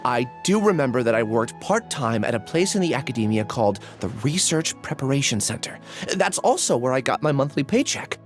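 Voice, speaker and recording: A man's voice: medium pitch, sing-song, fairly quick, hardly any pauses, soft background music, lightly acted. A man playing a timid, weary, confused young man in a video game, speaking hesitantly and apologetically. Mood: grateful, pained.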